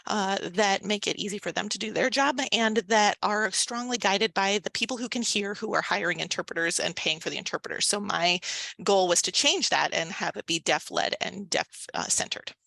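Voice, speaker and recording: Creaky, bright-voiced woman interpreting. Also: mid-range voice, no room sound. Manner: halting, phrase by phrase, uneven pace. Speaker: voice interpreter for a deaf panelist. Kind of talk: interpreting a panel introduction into speech.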